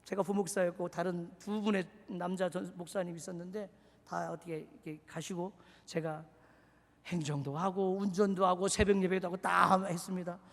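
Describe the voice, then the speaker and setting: A man preaching in a strikingly high, bright, forceful voice. He leaps from fervent shouts to quiet, confiding asides. The sound rings with the long reverb of a church sanctuary. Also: little pitch movement, normal speed. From Seoul. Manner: dramatic preaching, swinging between shouted exhortation and hushed asides. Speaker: pastor. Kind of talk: sermon.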